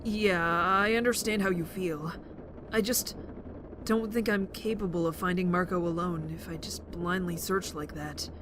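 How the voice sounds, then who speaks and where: A woman acting a thoughtful, soft-hearted teenage boy with dry wit, speaking gently and hesitantly in an audio drama. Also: on the low side, fairly even intonation, measured pace, natural voice. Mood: quiet, thoughtful. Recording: clear vehicle-like background noise.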